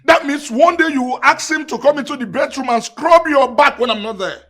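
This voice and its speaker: An actor with a Nigerian accent playing an angry, jealous husband and household boss, shouting and scolding in a film drama. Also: male voice, extremely high pitch, sing-song, moderate pace, full character voice. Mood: outraged, suspicious.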